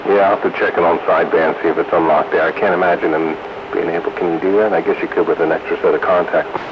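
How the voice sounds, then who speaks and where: A man with a deep voice chats casually over a CB radio link. The sound is dull and narrow, with clear static and noise around his easygoing shop talk. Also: steady tone, fast. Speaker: host of a CB radio hobbyist roundup. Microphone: CB radio microphone. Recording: noisy, dull CB radio transmission.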